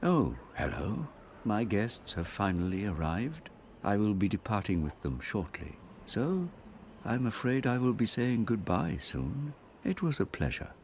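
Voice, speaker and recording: An actor playing a reserved, wary cleric in a video game, with a formal, measured manner. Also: male voice, fairly low pitch, sing-song, a little hiss or hum. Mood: reserved, courteous. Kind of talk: video game character dialogue.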